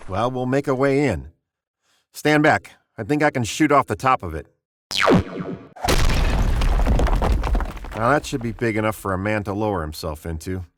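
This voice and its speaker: Man as low-voiced, commanding spaceship captain. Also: a little fast, a bit bright, slight background noise, natural voice. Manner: brusque, authoritative. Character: commanding, tense male spaceship captain, brooding narrator. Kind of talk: 1950s radio drama acting, first-person narration.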